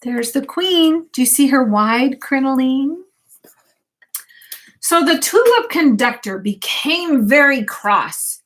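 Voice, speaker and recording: A woman's voice: high-pitched, expressive, slow pace, a bit bright. An older woman, a grandmother, reads a rhyming picture book aloud expressively and unhurriedly, speaking directly to young children. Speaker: grandmother reading a bedtime story to children.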